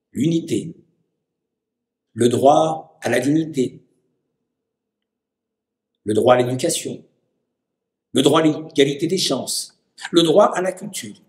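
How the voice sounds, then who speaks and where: A man from the Lyon area of France narrating a scripted personal documentary with deliberate, poetic phrasing. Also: mid-range voice, lively intonation, darkish tone.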